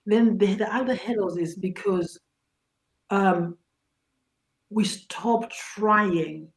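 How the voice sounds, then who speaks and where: Slow-spoken middle-aged Zimbabwean woman. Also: mid-range voice, low-quality recording. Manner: earnest, halting, repeats words as she searches for phrasing. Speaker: talk show guest. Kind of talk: personal advice in a talk show interview.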